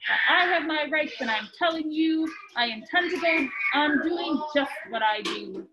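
A woman's voice: high voice, expressive, normal speed. A woman reading as a greedy, defiant factory owner. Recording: muffled with background noise.

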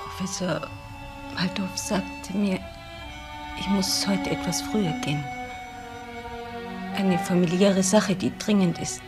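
An actress playing a devoted, admiring assistant to a professor in a crime radio play, earnest and subdued. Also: female voice, fairly low pitch, steady tone, slow pace, long pauses, loud music behind the voice. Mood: subdued recounting.

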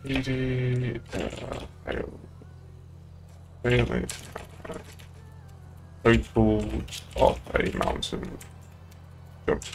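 A man's voice: mid-range voice, steady tone, very slow, vocal fry, pauses often, noisy background. A young British man chatting casually and laddishly on a gaming livestream. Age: young adult. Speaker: game streamer. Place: indoor room at a streaming desk.

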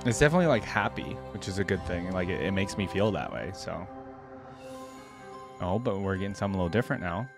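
Young man reacting casually, lively pitch. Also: fast talker, gravelly. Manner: easygoing, slangy, thinking aloud. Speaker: reaction video host. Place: indoor room at a desk, gaming chair. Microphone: desk microphone close in front, headset worn. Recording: music playing behind the voice. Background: music.

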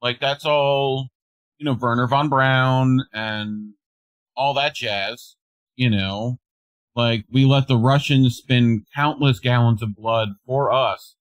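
A man's voice: medium pitch, fairly even intonation, slow, dry recording. An American man in his thirties co-hosting a news show, reading articles aloud and commenting casually.

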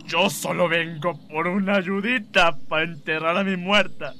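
Radio drama: male actor as destitute drunk widower, Mexican, imploring, very forceful tone, long reverb, faint noise behind. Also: high voice, steady tone, a little slow, full character voice. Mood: desperate, pleading. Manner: pleading, wailing. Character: drunken grieving poor widower begging for burial money.

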